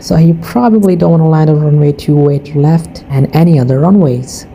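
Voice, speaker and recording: A woman's voice: sits low, mellow, rough recording. A woman narrating and explaining air traffic radio recordings in plain, informal English with non-native phrasing. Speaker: aviation video narrator.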